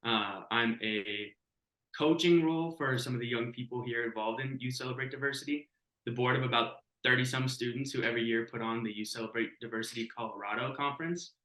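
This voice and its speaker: A man in his twenties, a youth mentor, introducing himself as a podcast guest in a halting, earnest way with many uhs. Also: mid-range voice, bright, forceful tone, echoey room, poor audio. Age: 20s. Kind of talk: casual self-introduction.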